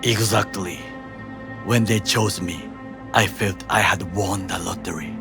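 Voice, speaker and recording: A man playing a proud, principled ex-soldier bodyguard in a video game, speaking formally and deliberately with a Japanese accent. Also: deep voice, fairly even intonation, gravelly. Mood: wistful, solemn. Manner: formal, measured, deliberate phrasing without slang. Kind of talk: video game cutscene acting. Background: music under the voice.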